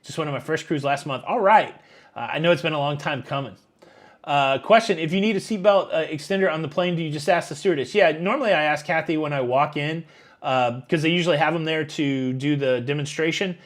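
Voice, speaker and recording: A man's voice: on the high side, brisk. A man around fifty hosting a live stream, reading viewer questions and answering in a rambling, chatty way with many fillers. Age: 50s. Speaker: YouTube cruise channel host. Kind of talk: live Q&A chat reading super chats.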